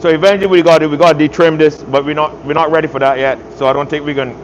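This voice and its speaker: A man's voice: a little high, steady tone, rapid-fire, dull audio, noisy background. A man from Barbados with a Barbadian accent, chatting casually on a live stream while doing body work in an auto shop. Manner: laid-back, repeats "man" and "you know what I mean".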